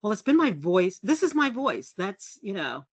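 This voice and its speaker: A middle-aged woman journalist from the US, telling candid stories as a podcast guest in a low, resonant voice. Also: normal speed, husky, dry recording. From United States. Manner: lively, candid and self-deprecating, laughs often. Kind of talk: casual storytelling interview.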